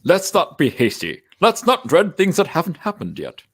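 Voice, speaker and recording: A man's voice: on the high side, wildly swooping pitch, moderate pace, breathy edge, heavily acted. A man playing a cocky, wheedling military officer and dealmaker, smug and theatrical, at a comedic table read. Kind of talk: comedic live table read of a fan script.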